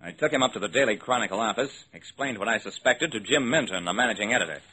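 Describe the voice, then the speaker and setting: A man's voice: lowish voice, expressive, fast talker, pushed and bright. An actor playing a wry, level-headed investigator, narrating his case in a clipped, matter-of-fact way in an old-time radio drama. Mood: brisk, intent. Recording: noisy old radio recording.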